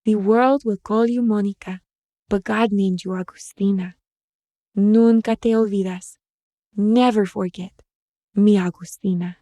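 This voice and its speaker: A woman narrator performs a young mother from a short story, tender, devout and sorrowful. Her gentle speech slips between English and Spanish, mellow in tone and recorded very dry. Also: medium pitch, steady tone, unhurried, no echo, close to an everyday voice. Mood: tender, resolute. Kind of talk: short-story audio reading.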